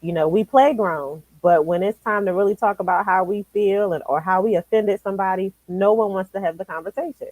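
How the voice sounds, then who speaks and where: A woman's voice: mid-range voice, a little fast, few pauses, darkish tone, dry recording, low-quality recording. A woman talking in a casual conversation, affirming and reflective.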